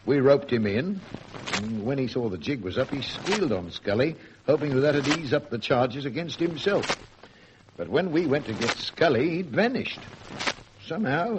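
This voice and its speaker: A man playing an older, avuncular British police sergeant in a vintage radio drama, with a gruff, colloquial storytelling manner. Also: mid-range voice, lightly acted. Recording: noisy old radio recording.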